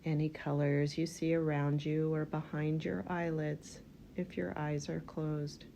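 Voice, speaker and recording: A woman leading a guided yoga nidra relaxation, speaking in gentle, spaced-out phrases as she gives unhurried instructions. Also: sits low, flat delivery, vocal fry, slight background noise.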